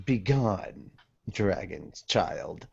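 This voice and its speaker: Someone doing a haughty demon bad guy from a manga dub, low and slow, kinda muffled lo-fi audio. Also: lively intonation, vocal fry, noisy background, full character voice. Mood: cold menace. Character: arrogant demon villain, cold and disdainful toward humans.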